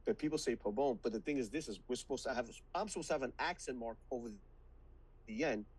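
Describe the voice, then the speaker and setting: A man's voice: mid-range voice, quick pace, darkish tone, slightly rough. A man co-hosting a podcast, chatting casually about movies and laughing often. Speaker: podcast co-host.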